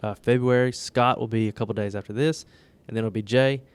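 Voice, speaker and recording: A young Southern man with a Southern US accent, co-hosting a casual golf podcast chat, animated and quick to laugh. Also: medium pitch, clear, resonant tone. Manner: laughs often, animated storytelling. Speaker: podcast co-host.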